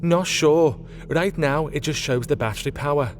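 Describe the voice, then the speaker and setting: A man's voice: a little high, moderate pace, big-room sound, faint music behind, pitched above the performer's own voice, close to an everyday voice. A man voicing a bold, boastful woman inventor in an audiobook, with emphatic, showy delivery. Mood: explaining. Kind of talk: audiobook character voice.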